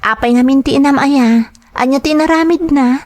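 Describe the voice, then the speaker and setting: Babae nga agak-akto iti nangato-timekna a balasang. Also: female voice, sits high, normal speed, darkish tone, voice pushed up, natural voice. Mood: concerned, indignant. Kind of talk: dramatized radio-style audio drama.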